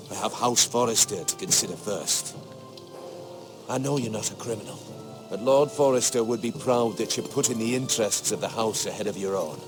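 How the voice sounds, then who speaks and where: Grave, prudent older steward for fantasy game male actor, earnest and formal, medium-pitched, faint score underneath. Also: lightly acted. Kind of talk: dramatic fantasy game voice acting.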